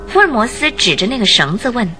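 A woman giving expressive, suspenseful scripted narration for a detective radio drama. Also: a little high, quick pace, soft background music.

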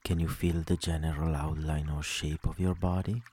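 A man leading a guided sleep meditation, speaking slowly and soothingly with long pauses between phrases. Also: rumbling low, flat delivery, mellow, breathy edge.

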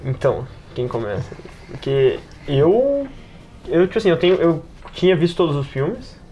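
A man's voice: on the high side, big pitch swings, moderate pace, highs cut off. A Brazilian man chatting casually as a podcast panelist, rambling and using plenty of slang. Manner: rambling, peppered with slang and filler tags. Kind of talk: casual chat about tabletop role-playing. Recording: clear background noise.

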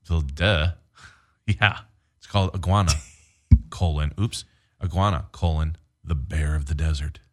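A man, a podcast guest, chatting casually and joking in a deadpan way. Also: very deep voice, unhurried, long pauses. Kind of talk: casual chat.

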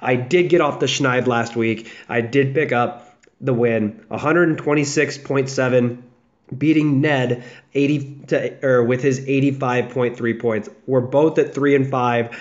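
A man's voice: medium pitch, steady tone, measured pace. Young guy rambling on his podcast, mid voice, kinda dull muffled sound. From United States, south of the Chicago area. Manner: rambling, chatty, with restarts and fillers. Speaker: fantasy football podcast host. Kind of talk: casual solo podcast chat.

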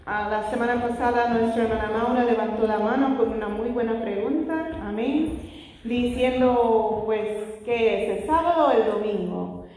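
A woman whose first language is not Spanish leads a church Bible study. Her voice sits a little high, and she keeps pausing to praise God and put questions to the room. It is recorded in a church hall with heavy, long reverb. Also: darkish tone, a little hiss or hum. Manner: frequent "Gloria al Señor" interjections, asks the congregation questions.

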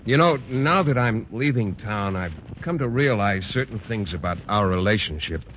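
An actor playing a shy, bashful biology teacher who stammers and hesitates, in a 1940s radio sitcom. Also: male voice, on the low side, lively intonation, normal speed, a bit bright. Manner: hesitant, stammering, formal wordy. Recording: dull old radio broadcast with faint hiss.

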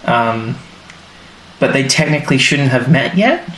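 A man co-hosting a podcast, rambling through a plot recap in relaxed conversation. His voice sits at an ordinary middle pitch. The room has a noticeable echo and a faint hiss of noise underneath. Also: unhurried. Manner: rambling, restarts and fillers while recounting plots. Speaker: podcast co-host. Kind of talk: casual chat. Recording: roomy sound with faint background noise.

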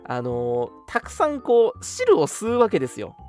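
A man's voice: high-pitched, huge pitch swings, normal speed, darkish tone. A young man co-hosting a radio show, chatting casually and laughing easily, sometimes reading out listener comments. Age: young adult. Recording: music behind the talk. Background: background music.

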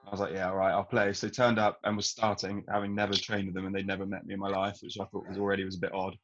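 A man's voice: low-pitched, level tone, rapid-fire, a bit bright, highs cut off, a little hiss or hum. A young man chatting casually with friends, easygoing and teasing. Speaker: guest catching up with friends. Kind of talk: casual chat.

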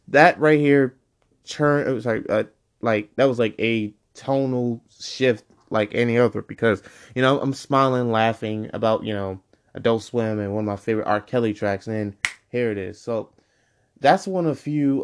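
Casting note: young male podcast host in his twenties, loose, meandering solo chat full of "um" and "like", mid-range voice. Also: mid-range voice. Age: 20s. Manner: rambling, full of fillers and self-corrections. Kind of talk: casual solo podcast monologue.

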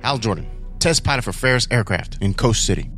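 A man's voice: mid-range voice, animated, a little fast, fairly bright tone, natural voice. A man playing a blunt, sarcastic test-pilot superhero in a radio play, with a casual sardonic manner. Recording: prominent music under the voice.

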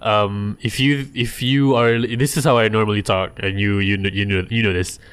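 A young man with a Malaysian accent co-hosting a podcast in casual chat, animated and teasing, laughing often. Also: fairly low pitch, normal speed, smooth. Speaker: podcast co-host.

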